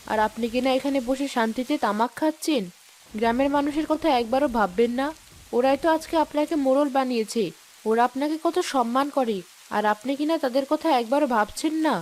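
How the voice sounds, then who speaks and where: Cartoon casting: actress as headman's principled wife, raised pitch, reproachful and outspoken, slight hiss in the background. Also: fairly quick, darkish tone, lightly acted, low-quality recording. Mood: worried, reproachful. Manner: reproachful, moralizing. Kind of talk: cartoon voice acting.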